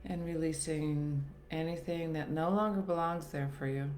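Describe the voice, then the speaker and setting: A woman leading a guided meditation in a soothing, gentle, unhurried manner with long pauses. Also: low voice, fairly even intonation. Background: soft background music.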